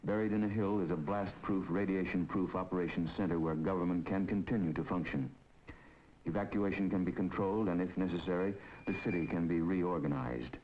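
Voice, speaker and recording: A man giving measured, authoritative scripted narration for a 1950s documentary film. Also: low-pitched, a little hiss or hum. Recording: dull 1950s film soundtrack with music.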